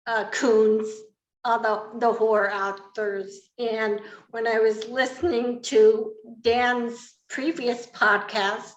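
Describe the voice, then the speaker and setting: A woman with a fairly high voice talks casually about herself as a writer on a podcast. Her pace is unhurried and the room is echoey. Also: a bit bright. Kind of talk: casual personal account.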